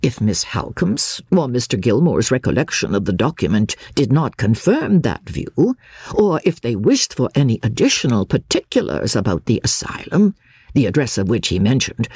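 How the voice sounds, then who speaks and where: A woman reading a classic novel dramatically as a formal, judicious Victorian male lawyer, with deliberate, expressive phrasing. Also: extremely low pitch, husky, no room sound, clean studio sound, full character voice.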